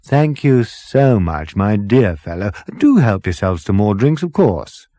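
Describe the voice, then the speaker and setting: A man's voice: on the low side, very animated, a little slow, mellow, dry recording, studio quality. A man voicing a genial, vague ship's captain, rambling politely in a comic science fiction audiobook. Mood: relaxed, genial. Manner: rambling, hesitant, chummy, polite upper-class politeness. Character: genial, vague, dithering male ship's captain, cheerfully unbothered.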